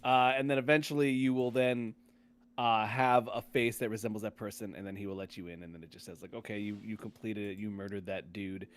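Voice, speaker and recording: A man's voice: fairly low pitch, steady tone, fairly quick, clear, resonant tone. A man in a casual game discussion, explaining things in a loose, rambling way. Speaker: podcast host.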